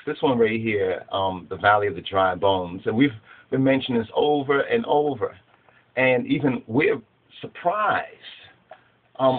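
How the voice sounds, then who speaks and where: A man's voice: mid-range voice, slightly rough. Man teaching on a tinny webcam. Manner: conversational, many fillers and restarts, holds books up to camera. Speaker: religious teacher. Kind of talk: informal teaching video. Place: small room at home, indoors. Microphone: webcam close-up. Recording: tinny phone-like webcam audio.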